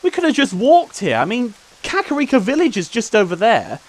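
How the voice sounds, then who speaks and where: A woman plays a young male adventurer hero with a very animated delivery that swings up and down. He is brash, sarcastic and a little clueless, hungry for revenge, in a video game parody. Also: on the high side, a little fast. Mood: exasperated. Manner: sarcastic, blustering.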